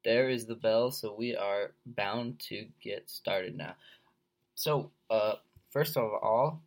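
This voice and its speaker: Young guy, blocked nose from a cold, low gravelly voice, rambling podcast host. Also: slow pace. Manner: rambling, filler-heavy, laid-back. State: stuffed-up head cold, sore throat. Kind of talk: casual solo podcast chat.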